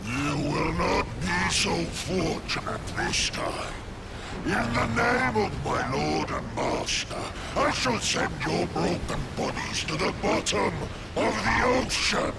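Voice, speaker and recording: An actor voicing a menacing, boastful sea monster in a video game, growling and grandiose like a theatrical villain. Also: male voice, high voice, big pitch swings, slow pace, very noisy, full character voice. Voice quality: gravelly, growling.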